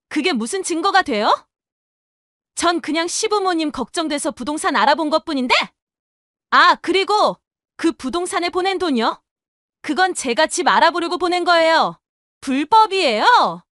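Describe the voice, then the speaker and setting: A woman's voice: extremely high pitch, a bit bright, no echo, studio quality, exaggerated voice. A woman playing a brazen, snippy young daughter-in-law who justifies herself with scorn in an audio drama. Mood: defiant, mocking. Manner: curt, snippy, sarcastic.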